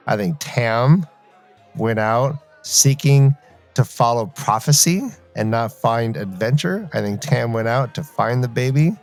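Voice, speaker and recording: A man's voice: medium pitch, a little mellow. A man co-hosting a podcast, chatting casually and debating in an emphatic, playfully argumentative way. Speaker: podcast co-host. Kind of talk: animated fan debate, casual chat.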